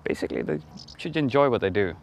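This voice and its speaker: A man with a Swedish accent, a riding team trainer, speaking enthusiastically in an interview and trailing off softly at the ends of phrases. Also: fairly low pitch, big pitch swings, quick pace, slight background noise. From Sweden.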